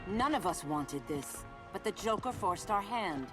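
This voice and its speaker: Clear music runs behind a woman acting a proud warrior heroine in a video game drama. Her mid-pitched voice moves widely up and down. She is earnest, forceful and insistent. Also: animated, measured pace, close to an everyday voice. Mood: firm persuasion. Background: cinematic music.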